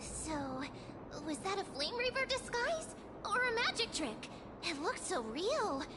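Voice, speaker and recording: An actress voicing a small, excitable female companion in a game, anxious and quick to react. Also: very high-pitched, background noise, heavily acted. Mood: wondering.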